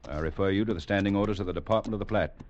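A stern cavalry captain who lives by the book, acted by a man. He speaks low and quickly, curt and formal, in vintage radio style. The recording is dull, with faint noise. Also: lightly acted. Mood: cold, scornful. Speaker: actor in a radio drama. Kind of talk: 1940s-50s radio acting. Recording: muffled, noisy old radio recording.

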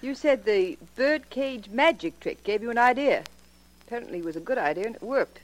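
An actress playing a murderer's anxious, probing wife in a 1940s radio crime drama, questioning with dramatic insistence. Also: female voice, a little high, lively intonation, fairly quick, close to an everyday voice, poor audio. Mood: accusing, insistent.

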